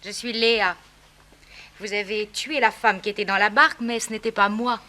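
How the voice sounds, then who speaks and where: An actress playing a cool, poised villainess in a 1960s French radio crime serial, with measured, dramatic delivery. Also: female voice, medium pitch, steady tone, pushed and bright, close to an everyday voice. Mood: cold triumph. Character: cool, poised female villainess, gangster's accomplice.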